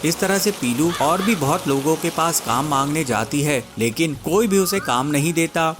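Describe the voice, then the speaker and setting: A man's voice: fairly high pitch, expressive, moderate pace, background noise. A man reading scripted narration for a children's cartoon story, with music behind him.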